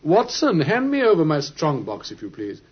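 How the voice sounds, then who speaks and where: A man playing a shrewd gentleman detective in an old-time radio drama, with a British accent and a polite, brisk, knowing manner. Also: a little high, wildly swooping pitch, slightly rough, heavily acted. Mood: suavely probing. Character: shrewd, urbane gentleman detective, quietly commanding. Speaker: actor in a radio play. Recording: muffled, hissy vintage radio recording.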